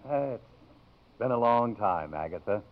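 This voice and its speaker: Gentle middle-aged college president, lowish expressive voice, courteous, muffled vintage radio drama recording. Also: male voice, unhurried. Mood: warm, awkward. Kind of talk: 1950s radio drama acting.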